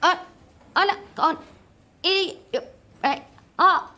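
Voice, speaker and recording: Flustered stammering mum. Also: female voice, very high voice, slow pace, sharp tone, heavily acted. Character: flustered middle-aged mum juggling calls. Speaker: mother. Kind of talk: sitcom acting.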